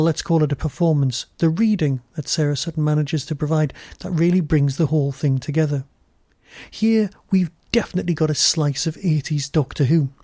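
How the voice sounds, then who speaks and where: A man's voice: a little high, mellow, no room sound, clean studio sound. A man presenting a podcast review as a scripted monologue.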